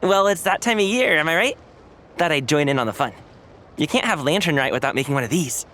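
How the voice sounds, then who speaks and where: A man's voice: on the high side, expressive, fairly quick, bright, forceful tone, a little hiss or hum. A man playing a friendly, modest young dance performer in a video game, casual and upbeat in manner. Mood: cheerful. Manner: casual, upbeat, self-effacing.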